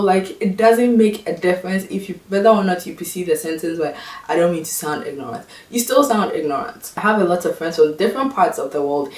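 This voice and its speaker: Young woman, Ghanaian accent, lowish voice, sarcastic vlog chat, roomy sound, mic set back. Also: a little mellow. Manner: exasperated, sarcastic, mimics others in high voices. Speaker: vlogger. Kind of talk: casual talking-to-camera vlog. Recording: echoey room, mic at a distance.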